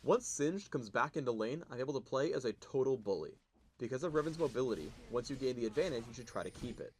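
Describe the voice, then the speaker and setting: A man's voice: medium pitch, animated, quick pace, slightly rough. A man presenting a game spotlight in scripted, instructional tutorial narration. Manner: instructional, explanatory.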